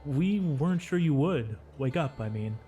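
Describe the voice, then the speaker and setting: A man playing a burly, gentle, worried pony in an audio drama, speaking haltingly and tentatively. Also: fairly high pitch, expressive, natural voice. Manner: hesitant, halting. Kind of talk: audio drama character acting.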